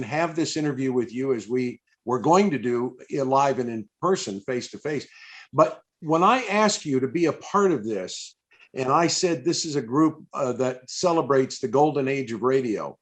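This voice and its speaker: A man with a mid-pitched voice conducts a live interview over a video call. He speaks effusively, doubling back on phrases and restarting as he goes. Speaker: interviewer and event host. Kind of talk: live interview for an old-time radio fan event.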